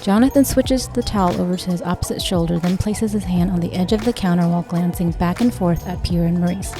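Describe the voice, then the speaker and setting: A woman's voice: lowish voice, fast, over music. A woman reading a story aloud as scripted narration, in the role of narrator. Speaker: audiobook narrator and actor.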